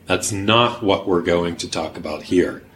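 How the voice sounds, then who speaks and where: A man's voice: deep voice, unhurried, a bit bright, a little hiss or hum. A man explaining his thinking in a podcast conversation, earnest and pragmatic, who also leads a workshop. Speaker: workshop organizer.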